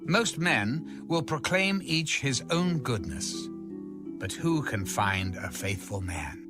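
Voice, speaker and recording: A man reading scripture aloud in a solemn, measured, declamatory style with music behind him. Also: mid-range voice, animated. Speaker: Bible reader.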